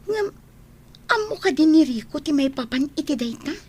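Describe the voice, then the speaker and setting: A woman acting a caring, nosy young best friend in an Ilocano radio drama, expressive and probing, with an Ilocano Filipino accent. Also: high voice, normal speed. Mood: worried, urging.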